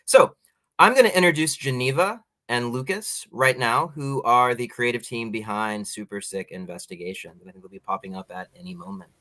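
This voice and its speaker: A man's voice: medium pitch, brisk, bright, forceful tone, dry recording. An American man hosting a conversational interview show, introducing topics and putting questions to guests.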